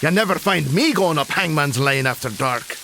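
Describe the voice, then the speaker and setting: A man playing a gruff, superstitious Scottish cab driver in a comedy audio drama, with broad dialect and a gravelly voice. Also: a little high, expressive, normal speed, bright, forceful tone, a little hiss or hum, exaggerated voice. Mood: ominous warning. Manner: broad dialect, ominous warnings.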